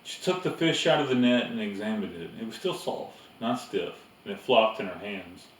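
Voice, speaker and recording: Man, storybook narration, slightly low voice, roomy echo, distant mic, faint noise. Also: normal speed. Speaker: reader. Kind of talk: storybook narration, read aloud.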